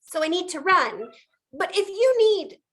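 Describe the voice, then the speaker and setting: A woman's voice: very high-pitched, very animated, unhurried, a bit bright, full character voice. A woman playing an earnest, worrying young assistant to a private detective, polite and solicitous, in noir stage dialogue. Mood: concerned.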